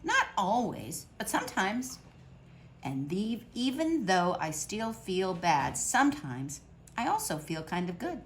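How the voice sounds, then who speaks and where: A woman reading a picture book aloud to children at storytime, expressive, with dramatic pauses. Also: medium pitch, a little hiss or hum.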